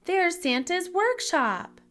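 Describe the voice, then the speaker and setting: A woman's voice: extremely high pitch, very animated, slow pace, a bit bright, faint music behind, voice pushed up, heavily acted. A woman voicing an observant, eager little girl hero in a children's storybook read-aloud. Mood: excited.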